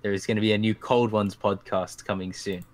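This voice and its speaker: Low-voiced guy rambling and swearing on his podcast over a voice call, laughs at himself a lot. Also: little pitch movement, brisk. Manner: profane, rambling, self-mocking. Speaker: podcast host. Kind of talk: casual podcast chat.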